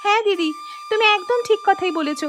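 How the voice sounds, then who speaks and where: Lady doing a really high young cartoon wife, anxious, earnest, music behind. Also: a little fast. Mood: surprised. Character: anxious young married village sisters, devoted to their husbands. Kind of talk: animated folk-tale dialogue.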